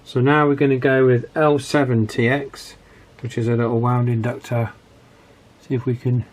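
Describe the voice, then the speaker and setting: Male repair vlogger, mid-range voice. Casual running commentary, halting and thinking aloud while working. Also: mid-range voice, little pitch movement. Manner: thinking aloud, hesitant pauses mid-phrase.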